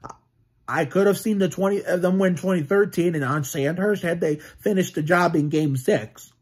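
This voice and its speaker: A man's voice: high-pitched, normal speed, a little mellow, husky. A man in his twenties in a casual home vlog, rambling and swearing about his team, with many restarts and fillers. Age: 20s. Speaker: sports fan vlogger. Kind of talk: casual sports vlog rant. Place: small room at home with bookshelves, indoors.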